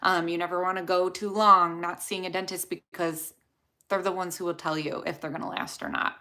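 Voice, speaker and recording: Young woman, Chicago accent, bright voice, chatty livestream Q&A host answering viewers. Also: on the low side, little pitch movement, fairly quick. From Chicago area. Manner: chatty, rambling, answers questions from chat. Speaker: dental hygienist, host.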